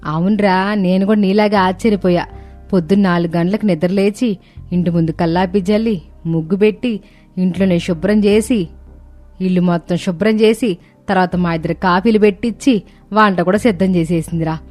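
A woman playing a doting, bustling older village mother-in-law with rustic colloquial speech in an animated story. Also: lowish voice, moderate pace, lightly acted. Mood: admiring. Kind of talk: animated moral-story voice acting. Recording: music bed under the voice.

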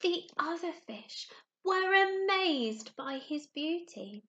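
A young woman, a primary teacher doing story time for small children with expressive, simple phrasing and questions. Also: extremely high pitch, slow, lo-fi sound, a little hiss or hum. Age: young adult. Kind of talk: children's story time, talking to young pupils and reading aloud. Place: small room at home, indoors.

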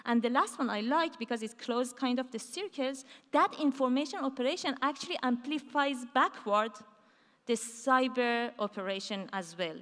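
A woman giving a conference keynote on a large dark stage, talking in a loose conversational way with fillers and restarts. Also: high-pitched, normal speed. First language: not Hebrew. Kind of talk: conference talk. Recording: hall reverb from a large stage.

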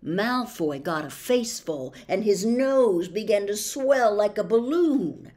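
A woman in her sixties reading a children's novel aloud with theatrical, expressive, deliberate phrasing, recorded at home. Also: mid-range voice. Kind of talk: dramatic audiobook-style narration of a children's novel. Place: small bedroom at home.

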